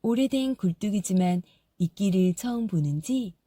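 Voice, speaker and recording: A woman narrating a fairy tale audiobook in a soft, gentle storytelling manner. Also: medium pitch, expressive, normal speed, dry recording, studio quality.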